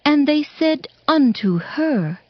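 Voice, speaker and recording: A woman narrating scripture from a script in a solemn, formal and deliberate storytelling style. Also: high voice, sing-song, no room sound, muffled recording. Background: music.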